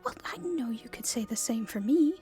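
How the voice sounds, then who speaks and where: A woman playing a nervous, polite young princess in an audio roleplay, speaking in a genteel, breathy way. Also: on the high side, expressive, normal speed, dark tone, soft background music, close to an everyday voice. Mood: urgent, bewildered. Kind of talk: roleplay ASMR acting.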